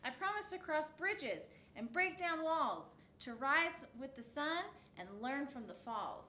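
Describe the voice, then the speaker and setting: A woman in her thirties reading a picture book aloud for children's storytime, phrase by deliberate phrase, in a roomy indoor space. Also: high voice, lively intonation, sharp tone. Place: indoor set with backdrop, seated. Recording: roomy echo, faint background noise.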